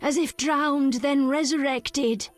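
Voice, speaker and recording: An actress playing a solemn, cryptic female village elder in a video game, speaking in measured, archaic phrases. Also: high-pitched, fairly even intonation, faint music behind. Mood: sombre.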